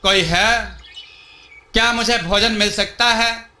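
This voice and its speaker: An earnest young storybook adventurer, voiced by a man, speaks in a high, very bright voice. The sound is noticeably echoey. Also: fairly even intonation, slow, exaggerated voice. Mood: hopeful. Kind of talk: storybook dialogue.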